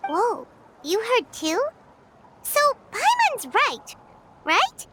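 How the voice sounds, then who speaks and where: A woman voicing a tiny, excitable fairy companion in a video game, with a squeaky childlike voice and an exclamatory, chatty manner. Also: drawn-out words, very noisy, exaggerated voice. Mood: curious. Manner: speaks of herself in third person, exclamatory. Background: music.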